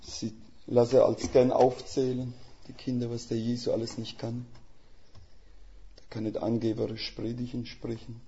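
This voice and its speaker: An older man preaching as a guest speaker in a folksy, plain-spoken way, with a Swiss German dialect and a gravelly voice. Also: medium pitch, completely flat delivery, unhurried, dark tone. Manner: folksy, plain-spoken, with homely images and rhetorical questions. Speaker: missionary. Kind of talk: sermon. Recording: slightly dull lo-fi sound.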